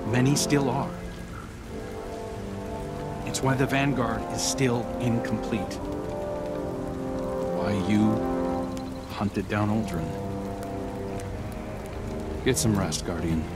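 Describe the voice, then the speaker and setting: An actor playing a solemn, reflective young man in a video game, earnest and measured. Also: on the low side. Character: solemn, reflective young male guardian, quietly remorseful. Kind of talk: scripted game dialogue. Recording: loud music behind.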